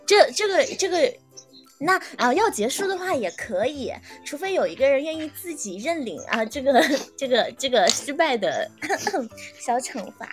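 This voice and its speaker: A high-pitched woman hosts a casual game segment on a livestream, chatting with her guests. Faint music plays behind her voice. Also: big pitch swings, moderate pace. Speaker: host of an audio drama fan livestream.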